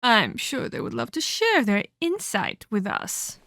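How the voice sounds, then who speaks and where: A woman's voice: medium pitch, wildly swooping pitch, pushed and bright, full character voice. A woman voicing a menacing female vampire captor in a scripted ASMR roleplay, theatrical and mockingly sweet as she scolds and condescends. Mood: cold, taunting. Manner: theatrical, mock-polite, slow deliberate scolding.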